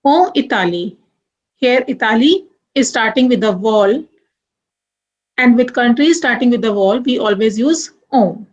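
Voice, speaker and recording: A young woman teaching French grammar, moving deliberately through examples and spelling out letters one by one. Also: a little high, animated, muffled recording. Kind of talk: grammar lesson.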